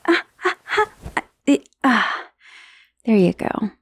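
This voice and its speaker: Woman acting a slow-talking, friendly undead dullahan rider. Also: a little high, very animated, slightly rough, no echo, full character voice. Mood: casual, musing. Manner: casual, hesitant asides with sighs and murmurs. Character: chatty dullahan, a friendly magical severed head, centuries-old rider. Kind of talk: ASMR roleplay acting, addressing the listener.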